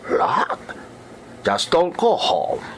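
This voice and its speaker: A man acting an imploring, clingy employer who speaks halting, broken English in a radio drama. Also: a bit bright, slight background noise. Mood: begging. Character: imploring, clingy male employer of a maid abroad.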